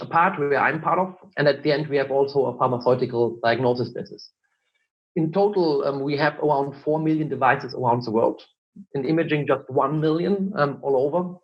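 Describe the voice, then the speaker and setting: A man's voice: mid-range voice, muffled recording. A man from Germany with a German accent, answering interview questions as a marketing director, explaining patiently with frequent fillers. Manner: explanatory, many fillers and "look".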